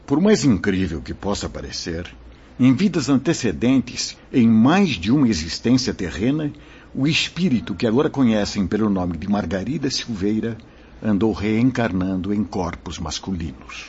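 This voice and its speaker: An actor playing a wise, solemn spiritual mentor who teaches formally in a radio drama. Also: male voice, on the low side, animated, normal speed, a little mellow, husky. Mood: grave explaining. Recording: dull lo-fi audio, music behind. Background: music.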